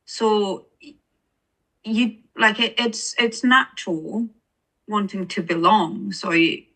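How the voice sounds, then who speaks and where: A woman's voice: mid-range voice, slow, fairly bright tone, poor audio. A woman with an Estonian accent, an author speaking as an interview guest, earnest and searching for words with many restarts. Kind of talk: reflective interview conversation.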